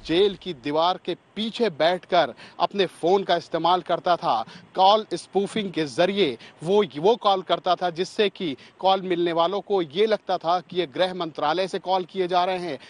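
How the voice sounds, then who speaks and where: A man's voice: high voice, a little slow, few pauses. A man working as a news reporter, explaining a story in report style.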